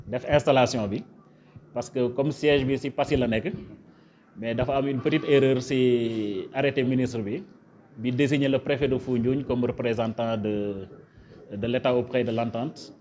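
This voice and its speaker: Senegalese official, dull lo-fi sound. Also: male voice, mid-range voice, fairly even intonation, moderate pace, a little mellow, slight background noise. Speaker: government official, prefect. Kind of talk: formal official ceremony speech. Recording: dull, lo-fi event recording.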